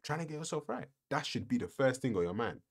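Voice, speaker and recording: A man's voice: medium pitch, big pitch swings, fast, creaky. A man hosting a reaction video, giving sarcastic, lecturing commentary full of rhetorical questions.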